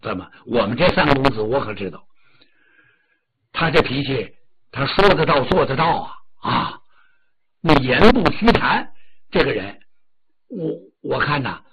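A man's voice: medium pitch, sing-song, unhurried, pauses often, fairly bright tone, dull audio. A man voicing Li Xing, a loyal household steward who talks earnestly and stammers.

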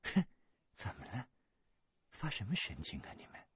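A man's voice: fairly low pitch, huge pitch swings, moderate pace, breathy edge, no echo, voice pushed up, heavily acted. A man voicing a proud, indignant young woman. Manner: indignant, sharp retorts. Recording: tinny lo-fi recording.

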